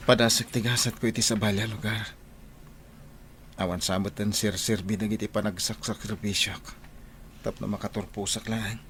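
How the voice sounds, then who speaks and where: Radio drama regretful young student, low-pitched and even, Ilocano accent, clearly noisy background. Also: male voice. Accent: Filipino, Ilocano. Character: remorseful, downcast young male student seeking a fresh start.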